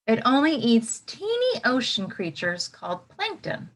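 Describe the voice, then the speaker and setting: A woman's voice: a little high, wildly swooping pitch, a little slow. A woman reading a children's book aloud as a teacher, expressively, with simple explanatory asides for young listeners.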